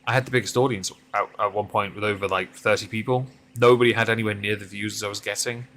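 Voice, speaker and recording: A man's voice: steady tone, fast, fairly bright tone, a little hiss or hum. A man in his mid-thirties chatting casually with viewers on a driving game stream, rambling and self-deprecating. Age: 30s. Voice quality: deep voice. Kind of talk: casual live-stream chat while driving.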